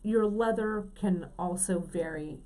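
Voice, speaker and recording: Woman co-host, mid pitch, mellow, upbeat and casual, many filler words. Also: measured pace. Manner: enthusiastic, filler-heavy. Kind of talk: casual chat, craft demonstration.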